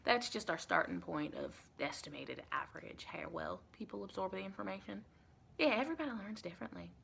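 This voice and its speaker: A soft-spoken woman playing a chatty, caring female vampire intake interviewer in an improvised ASMR roleplay, rambling and reassuring with pet names. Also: lowish voice, expressive, a little fast, vocal fry, a little hiss or hum, heavily acted. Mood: explanatory, reassuring. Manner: rambling, reassuring, endearments like honey and sweetie.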